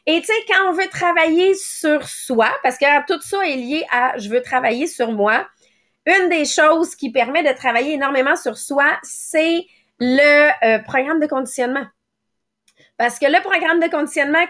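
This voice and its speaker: A woman in her late thirties with a Quebec French accent hosts a motivational morning podcast. Her voice sits high, and her talk runs quick and conversational, with little quoted thoughts played out as she goes. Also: fairly bright tone. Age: late 30s. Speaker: podcast host.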